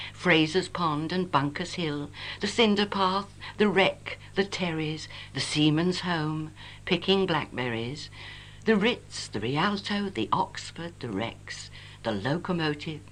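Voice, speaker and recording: An English woman reciting her own poem in a wistful, lyrical and measured way, on an old home cassette recording. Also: deep voice, a bit bright. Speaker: poet reading own work. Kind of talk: expressive poetry recitation. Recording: noisy 1980s home cassette, humming. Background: tape noise and hum.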